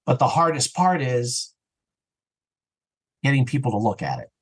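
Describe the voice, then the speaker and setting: A man chatting casually as an interview guest, talking in an earnest, animated way about his creative work. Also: mid-range voice, moderate pace, slightly rough. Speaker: interview guest, audio drama creator and podcaster.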